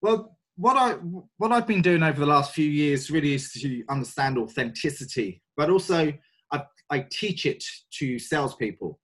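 A man in his forties with a London English accent, a coach speaking as a podcast guest, thoughtful and hesitant with many pauses and fillers. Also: a little high, animated, a bit bright, husky. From North London, England. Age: 40s. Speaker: guest, sales and mind coach. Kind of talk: podcast interview.

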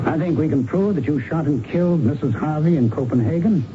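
An actor as a smug, confident investigator, muffled recording. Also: male voice, a little high, a little fast, lightly acted. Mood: smugly accusing. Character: confident male American investigator confronting a captured criminal. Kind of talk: radio drama acting. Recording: muffled, noisy vintage radio broadcast.

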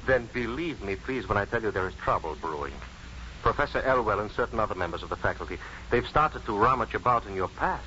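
A dulled vintage radio recording with a forceful, lively male voice. an earnest professor anxious for his friend. His delivery is emphatic and insistent, in period radio acting style. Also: on the low side, brisk. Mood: worried, insistent. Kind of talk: 1950s radio drama acting. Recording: muffled, faintly noisy 1950s radio broadcast.

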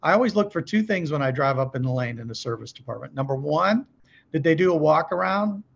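A man with a slightly high, gravelly voice talks as a podcast guest in an emphatic coaching style. He often tags his points with quick check questions and slips into example dialogue. The audio is dull and lo-fi, and his voice has a husky edge. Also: expressive. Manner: emphatic, asks rhetorical check questions, acts out sample calls. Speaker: podcast guest, car dealership service trainer. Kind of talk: conversational coaching talk.

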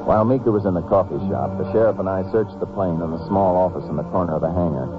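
A man playing a shrewd, steady lawman from an old radio serial, low in pitch and matter-of-fact. He narrates and questions tersely, on a muffled vintage recording with music. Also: fast, natural voice. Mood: focused, deductive. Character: steady, shrewd male Texas Ranger investigator, narrating his case. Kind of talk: 1950s radio drama acting and narration.

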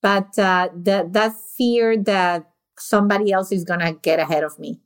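Woman host, curious and reflective, talks in a middle pitch. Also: steady tone, normal speed, smooth. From Venezuela. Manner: curious, reflective, restarts phrases. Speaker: podcast host. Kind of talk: conversational interview.

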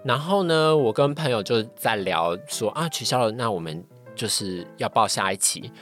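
A man's voice: mid-range voice, lively intonation, normal speed, fairly bright tone, faint music behind. A young man with a Taiwanese Mandarin accent chatting casually as a podcast guest, recounting experiences a little hesitantly with repeated phrases. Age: young adult.

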